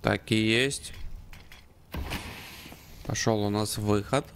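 A man's voice: lowish voice, fairly even intonation, slow pace. A man commentating live on an esports match and analysing play as it unfolds. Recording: background noise, clicks and game gunfire. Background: game gunfire.

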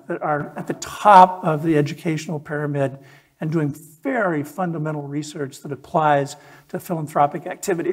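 Man, 60s, conversational keynote speaker, slightly high-pitched husky voice, leans on key words. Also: darkish tone. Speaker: keynote speaker at a charity event. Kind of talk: public keynote presentation. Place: stage in an event hall with a PA, indoors.

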